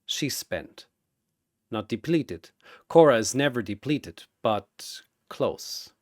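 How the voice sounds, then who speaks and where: Man narrating with highly dramatic, animated delivery. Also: slow, no echo, studio quality. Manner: theatrical, intense, emphatic. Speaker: storyteller narrator. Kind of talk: dramatic scripted fight narration.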